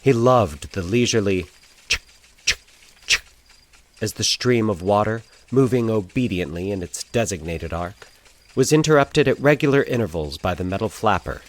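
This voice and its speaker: A man narrating a scripted fiction story in a measured, literary storytelling way. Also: lowish voice, expressive, a little hiss or hum, poor audio.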